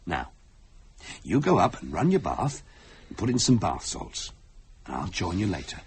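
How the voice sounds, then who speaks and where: An actor with a British accent playing a fussy, patronising, sceptical husband in a radio drama. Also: male voice, deep voice, sing-song, unhurried, pauses often, slightly rough, full character voice. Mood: coaxing, patronising. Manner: patronising, cajoling, insistent. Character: fussy, paternal, coaxing husband, sceptical and cynical.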